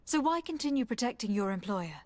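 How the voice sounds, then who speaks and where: A woman's voice: a little high, big pitch swings, fairly quick, a little mellow, no room sound. A woman playing a composed, articulate, authoritative noble diplomat in a video game. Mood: persuasive.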